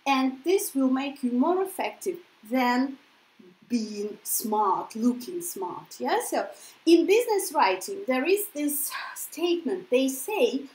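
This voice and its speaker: A woman's voice: high voice, sing-song, slow, darkish tone, reverberant, low-quality recording. A Russian-born woman with a Russian accent, teaching an English lesson in a chatty way, often checking in with "yeah?". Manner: chatty, explains with tag questions like "yeah?". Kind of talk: casual spoken lesson.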